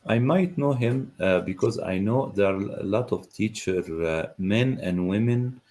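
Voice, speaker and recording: A man from Egypt, hosting a live interview over a video call, hesitant and pausing often. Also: on the low side, dark tone. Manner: hesitant, many uh fillers. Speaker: interviewer, host.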